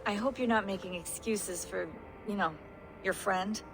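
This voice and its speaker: Actress as brisk, practical woman technician. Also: mid-range voice, natural voice, poor audio. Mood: cautious, concerned. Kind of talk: naturalistic video game acting. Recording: faint background noise and ambience.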